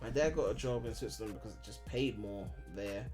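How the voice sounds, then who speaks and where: A man's voice: lowish voice, a little fast, darkish tone, soft background music. A man in his twenties from Ghana, chatting casually on a live stream at home, rambling and candid with lots of filler and swearing. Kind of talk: casual live-stream chat answering viewers.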